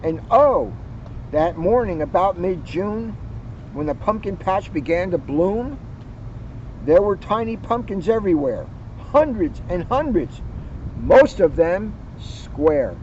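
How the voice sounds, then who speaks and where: A man with a notably high voice reads and talks to children in an expressive, deliberate storyteller's way, stretching the ends of phrases. He is recorded outdoors, and the sound is dull with faint background noise. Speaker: police officer reading to children in a community video series. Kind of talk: picture-book read-aloud with informal chat. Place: outdoors at a garden center. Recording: dull, noisy outdoor audio.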